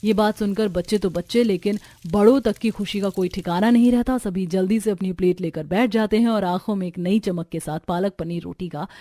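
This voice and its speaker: A woman's voice: medium pitch, warm tone, no echo. A woman giving scripted narration of a simple cartoon family story.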